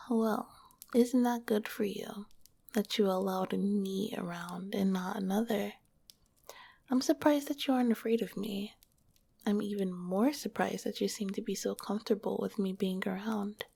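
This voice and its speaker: A gentle slime monster girl whispers close to the ear, as a woman acts her out, her breathy voice catching in a gravelly fry. She teases a little, then turns tender and comforting. The sound is dry and intimate, as in an ASMR roleplay. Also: mid-range voice, a little slow, dry recording. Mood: teasing whisper. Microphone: close mic.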